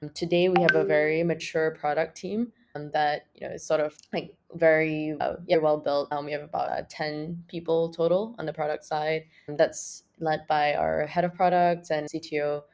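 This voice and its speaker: A woman's voice: sits low, moderate pace, hardly any pauses. A young woman from Malaysia, a startup co-founder, chatting casually as an interview guest with lots of fillers and restarts. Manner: relaxed, many fillers and restarts.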